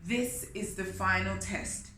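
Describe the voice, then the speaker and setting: Lady doing a grumpy pompous king for a kids book, bossy, bright voice, roomy sound. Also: medium pitch, fairly even intonation, slow. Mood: stern. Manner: pompous, commanding. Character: proud, huffy, grudging male sky king. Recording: roomy echo with faint noise.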